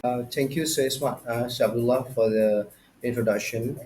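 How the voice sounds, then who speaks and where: A male student interviewer leads an academic interview, thanking each guest formally and introducing the next question courteously. He speaks at a middle pitch, and faint background noise sits under his voice. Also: fairly even intonation, normal speed, a little mellow.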